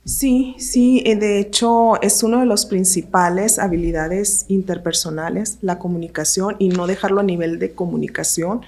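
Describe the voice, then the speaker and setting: Give me a woman co-hosting a podcast, mid-range voice, explaining things calmly and steadily. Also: mid-range voice. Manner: measured, explanatory, repeats phrases for emphasis. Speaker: podcast co-host, university professor. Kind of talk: panel discussion on a podcast.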